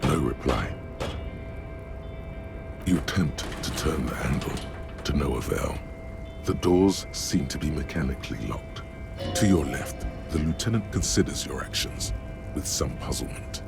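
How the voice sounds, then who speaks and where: An extremely low, gravel-throated male narrator for a detective video game. He is brooding and solemn, delivering literary description at a measured, deliberate gait. Music plays underneath. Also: lively intonation, heavily acted. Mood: dry, descriptive. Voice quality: gravelly, very deep. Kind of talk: scripted second-person game narration.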